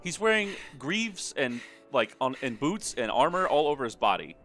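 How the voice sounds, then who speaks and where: A man commentates casually on a video game in a bright, lively voice. His pitch swings around as he makes crude, irreverent jokes, with game music faintly behind him. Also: moderate pace. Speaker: let's play commentator. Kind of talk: casual joking gameplay commentary. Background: video game music and dialogue.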